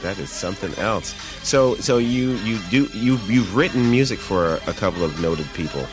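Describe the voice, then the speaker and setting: A man with a very low voice hosting a radio interview. He is easygoing and laughs often, filling the gaps with murmured agreement. Clear music plays under him. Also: lively intonation, moderate pace. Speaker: radio host.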